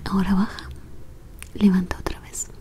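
A young adult woman whispering soothingly in an ASMR medical role play, giving gentle instructions in short phrases, close to the mic in a small room. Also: medium pitch, little pitch movement, normal speed. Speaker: ASMR role-player as clinic examiner.

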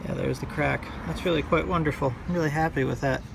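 A man talking through a hands-on repair tutorial in a halting, unscripted way, thinking aloud as he works outdoors. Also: fairly high pitch, steady tone. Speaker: hobbyist demonstrating a repair. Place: outdoors by a car on a windy day. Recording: clear outdoor background noise.

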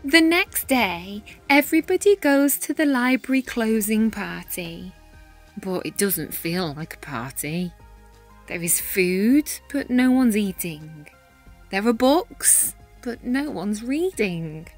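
A woman reading a children's picture book aloud in an expressive, animated manner with dramatic pauses and emphasis. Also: medium pitch, soft background music. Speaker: read-aloud storyteller, teacher-style reader.

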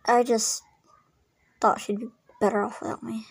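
A woman's voice: on the high side, little pitch movement, normal speed, vocal fry, husky, dry recording. A woman voicing a shy, awkward young man who stammers and apologizes in a hesitant way, in an amateur acted story. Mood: subdued.

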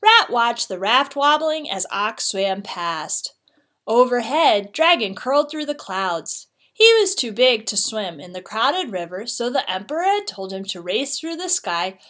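A woman narrating a children's storybook expressively, with deliberate, dramatic phrasing. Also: a little high, fairly bright tone. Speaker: read-aloud teacher.

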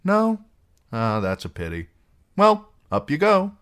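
A smoothly cruel outlaw minstrel, played by a male narrator in a fantasy audiobook. He speaks with a lifted, lilting voice and animated pitch, teasing his victim with false kindness. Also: slow pace, exaggerated voice. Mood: mock regretful. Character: wry, mocking outlaw singer, smoothly cruel adult man. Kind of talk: audiobook character acting.

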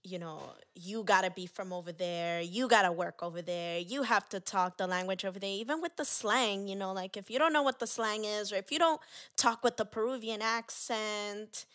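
A woman hosting a podcast, animated and quick to laugh, acting out the people she quotes. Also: medium pitch. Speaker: podcast host. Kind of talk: candid interview conversation.

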